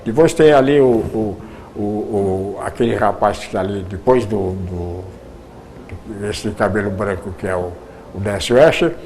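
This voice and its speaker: An older man, a retired radio producer, reminiscing in an interview, with halting speech full of repeated words and restarts. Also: on the low side, darkish tone, a little hiss or hum, poor audio.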